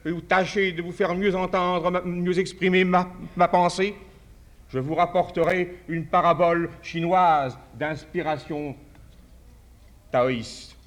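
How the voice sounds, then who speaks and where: A man's voice: sits high, fairly even intonation. Man giving a formal address. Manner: measured, tells a parable with restarts. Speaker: conference speaker. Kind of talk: formal speech at a podium.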